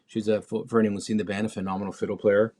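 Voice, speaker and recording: A man from Mexico City who moved to Canada as a teenager, speaking as a musician being interviewed, reflective and halting as he thinks. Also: on the low side, little pitch movement, mellow. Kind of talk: casual conversational interview.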